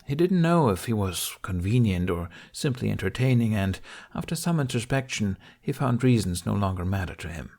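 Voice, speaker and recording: Low-voiced man narrating a story. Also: animated, moderate pace, darkish tone. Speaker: audiobook narrator.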